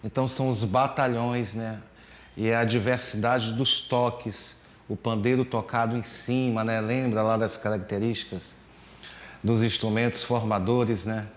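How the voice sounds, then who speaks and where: A man explaining percussion rhythms in a slow, hesitant teaching manner, full of fillers and tag questions. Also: on the low side, little pitch movement. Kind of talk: relaxed music lesson explanation. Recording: echoey room.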